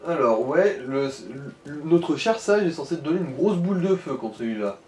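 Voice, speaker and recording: Male gaming co-commentator, soft dark tone, casual crude slang, advisory role, faint background noise. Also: fairly high pitch, animated, moderate pace, roomy sound.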